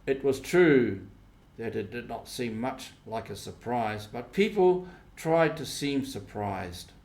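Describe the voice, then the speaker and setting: Guy reading an audiobook story, real slow, deliberate short phrases, medium pitch. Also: lively intonation, gravelly, a little mellow. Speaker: audiobook reader. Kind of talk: scripted storybook narration, simplified classic novel.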